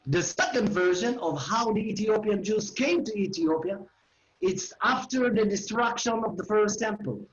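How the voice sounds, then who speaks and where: A man's voice: sits high, expressive, moderate pace, a little hiss or hum. A man in his forties with an Israeli accent, giving an online history lecture in simple phrasing addressed to his listeners. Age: 40s. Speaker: educational tour guide giving a lecture. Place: living room at home. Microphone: video call. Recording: roomy, slightly dull video-call audio.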